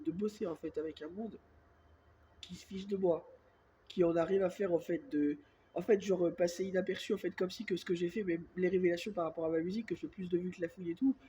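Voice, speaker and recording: Congested man talking softly into phone. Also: high voice, slight background noise. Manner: speaks softly on purpose; rambling, repeats filler words constantly. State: has a cold, congested and coughing. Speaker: self-filmed vlogger. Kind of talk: casual monologue to camera. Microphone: phone held close.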